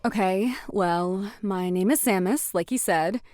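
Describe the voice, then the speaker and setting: A woman playing a guarded, awkward space heroine in an animated comedy, talking in a hesitant, matter-of-fact way. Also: mid-range voice, unhurried, no echo, clean studio sound, close to an everyday voice. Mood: awkward, explaining. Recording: music behind voice.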